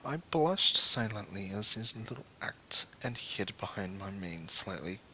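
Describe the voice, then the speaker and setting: A man giving a theatrical amateur dramatic reading of a first-person story, with breathy asides. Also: lowish voice, lively intonation, unhurried, sharp tone, a little hiss or hum, poor audio. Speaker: amateur fan fiction reader.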